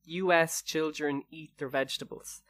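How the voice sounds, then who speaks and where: A young Irish man co-hosting a relaxed podcast, sharing funny stories with upbeat excitement. He keeps the show moving along and leads into each segment. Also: fairly high pitch, normal speed, dry recording. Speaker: podcast co-host. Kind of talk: casual chat.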